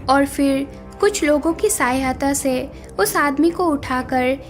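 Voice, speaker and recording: A woman narrates a scripted moral tale. Her voice sits high, and light music plays underneath. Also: slow. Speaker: story narrator.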